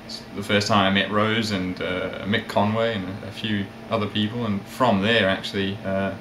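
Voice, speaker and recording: A man of about thirty with a mixed South African, English and Australian accent, chatting casually and a little haltingly as an interview guest. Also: on the low side. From South Africa, with years in England and Australia. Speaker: interview guest, ukulele player and magazine editor. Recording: roomy echo, steady background noise.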